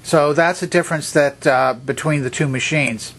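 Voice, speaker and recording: A man's voice: a little high, moderate pace, fairly bright tone. A man giving an unscripted, methodical demonstration, explaining things step by step in a plainly instructional way. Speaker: presenter of vintage electronics demonstrations.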